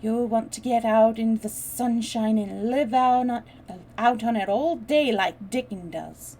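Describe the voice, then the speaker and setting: A woman's voice: fairly high pitch, measured pace, a little hiss or hum, voice pushed up. A woman voicing a cheerful young Yorkshire housemaid in a storybook reading, with a put-on broad Yorkshire accent. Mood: enthusiastic. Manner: broad dialect, chatty and bright.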